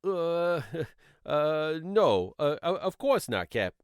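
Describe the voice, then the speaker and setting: A low-voiced, stammering nervous cop. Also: female voice, sing-song, slow pace, smooth, no echo, studio quality, heavily acted. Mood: nervous, evasive. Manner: stammering, hesitant. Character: nervous, evasive subordinate police officer. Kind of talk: audio drama acting.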